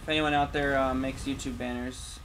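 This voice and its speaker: A man's voice: mid-range voice, level tone, normal speed. Casual male gamer over noisy gameplay. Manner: informal, off-the-cuff, slangy. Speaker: gaming YouTuber. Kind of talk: casual let's-play commentary. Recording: game sounds clearly behind voice. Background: video game combat and ambience.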